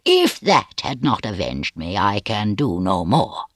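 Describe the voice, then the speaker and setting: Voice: sits low, very animated, unhurried, a bit bright, no room sound, clean studio sound, voice pushed down, full character voice. A reader voicing a bitter, gloomy, vengeful old male physician with grave, archaic, rhetorical speech in a storybook reading. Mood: cold.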